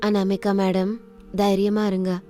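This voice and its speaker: A woman voicing a loyal, earnest female assistant who speaks in a reassuring, hushed manner in a story. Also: mid-range voice, completely flat delivery, measured pace, soft background music, lightly acted. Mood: earnest reassurance.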